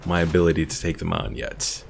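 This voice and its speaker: A man's voice: low-pitched, expressive, a little fast, vocal fry. A man giving casual gameplay commentary as a streamer, thinking aloud as he plays. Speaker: streamer playing a strategy game. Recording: game music behind voice.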